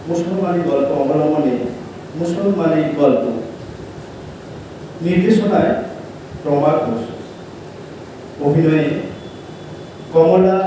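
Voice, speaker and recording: A man makes a formal stage announcement slowly, in measured, declamatory phrases. His slightly high voice sounds distant and very echoey, with music behind. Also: dark tone, slight background noise.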